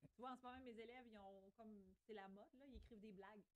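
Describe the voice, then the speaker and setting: A woman's voice: medium pitch, fairly even intonation, fast. A woman with a Quebec French accent talking casually as a podcast co-host and schoolteacher. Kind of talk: casual conversational podcast talk.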